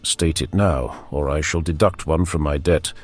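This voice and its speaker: A man voicing a proud, calculating superhuman warrior with a measured, formal delivery in a fantasy audiobook. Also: very deep voice, steady tone, soft background music, voice pushed down. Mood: defiant, cold.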